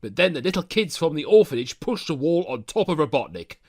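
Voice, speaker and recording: Animated man narrating with sardonic asides. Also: a little high, fairly quick, heavy background noise. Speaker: narrator of a fan audio story. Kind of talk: scripted comic recap narration.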